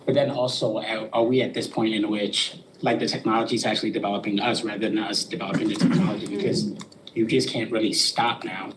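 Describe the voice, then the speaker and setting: A man's voice: lowish voice, steady tone, quick pace. A man in the audience asking informal questions in a hesitant, hedging way, with noisy room ambience.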